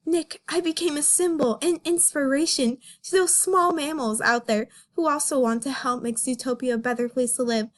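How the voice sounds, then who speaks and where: A woman's voice: high-pitched, fairly even intonation, moderate pace, hardly any pauses, natural voice. A woman voicing an anguished young female rabbit cop, melodramatic and pleading, in an amateur comic reading. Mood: earnest.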